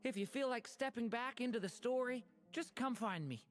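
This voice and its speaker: Lady playing thoughtful plain spoken girl in a video game, very lively pitch, music behind. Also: normal speed, close to an everyday voice. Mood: thoughtful, matter-of-fact.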